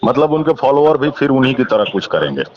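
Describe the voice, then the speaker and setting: A man's voice: medium pitch, very animated, fast, a little hiss or hum. A man interviewing someone by phone, following attentively with short acknowledgements, summaries and questions. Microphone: phone call. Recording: dull lo-fi phone call recording.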